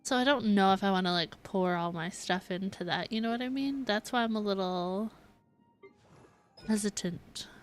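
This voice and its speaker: A woman's voice: medium pitch, normal speed, smooth, faint music behind. A woman game streamer chatting casually, musing aloud and indecisively to her viewers. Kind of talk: casual livestream chat.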